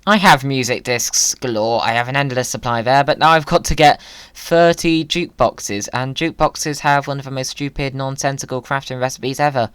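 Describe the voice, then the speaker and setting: A man's voice: medium pitch, normal speed, a bit bright, smooth, no echo. A man giving playful gaming commentary in a whimsical, silly manner. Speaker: video creator, gamer.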